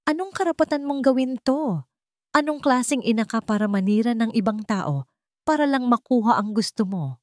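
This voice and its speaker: A woman's voice: a little high, lively intonation, moderate pace, no echo, clean studio sound, pitched above the performer's own voice, close to an everyday voice. A Filipino woman voicing a protective, hot-tempered man in a story, intense and commanding. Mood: outraged.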